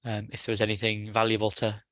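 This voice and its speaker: Bright-voiced man chairing, dry sound. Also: fairly low pitch, monotone, quick pace, no room sound. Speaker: meeting chair. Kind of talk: casual meeting facilitation. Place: online video call.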